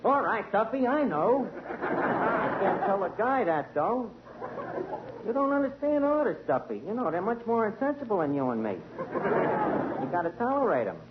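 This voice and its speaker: A man's voice: sits high, very animated, moderate pace, pauses often. Brash wisecracking man on old radio. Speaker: tavern manager and show host. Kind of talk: 1940s radio comedy acting. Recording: dull old radio broadcast with faint hiss.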